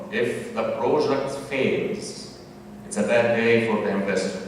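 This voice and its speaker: A man with an Egyptian accent gives a public presentation, pausing on fillers and restarting his sentences. His voice sits a little low and sounds far from the mic. A long hall reverb and clear background noise surround him. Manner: halting, many fillers and restarts.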